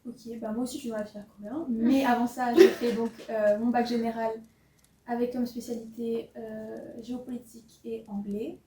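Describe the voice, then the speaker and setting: A woman's voice: fairly high pitch, level tone, moderate pace, mellow, slight background noise. A woman in her early twenties from southern France, a master's student, explaining her studies in casual chat. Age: 20s. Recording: echoey room, mic far off.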